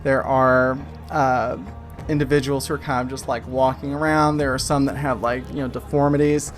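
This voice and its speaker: A man improvising tabletop game narration as game master, halting as he searches for his words. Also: mid-range voice, flat delivery. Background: music.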